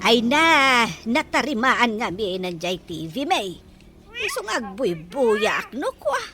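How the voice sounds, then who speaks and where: A woman's voice: fairly high pitch, very animated, takes it slowly, background noise. A female actor playing a plaintive, put-upon village daughter in a radio drama, whining and exclaiming with an Ilocano accent. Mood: indignant, nosy. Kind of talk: Filipino radio drama acting.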